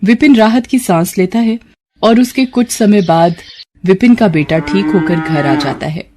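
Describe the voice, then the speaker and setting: A woman's voice: mid-range voice, a little slow, darkish tone, a little hiss or hum. A woman storyteller giving scripted narration of a moral tale.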